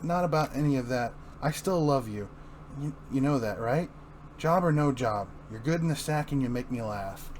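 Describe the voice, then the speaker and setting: A man playing a gentle, soothing young wife in an amateur comic-book reading. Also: mid-range voice, expressive, normal speed, natural voice. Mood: tender. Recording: clearly noisy room recording.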